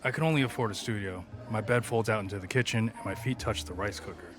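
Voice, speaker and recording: An actor playing an earnest, mild-mannered young peacekeeper, polite and tactful, in a comedy audio drama. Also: male voice, on the low side, fast talker, faint music behind, lightly acted.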